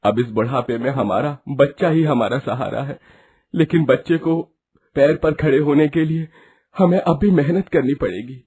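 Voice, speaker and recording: A man voicing a worried, aging village husband in an animated story, with an earnest, somewhat husky voice. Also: on the high side, normal speed.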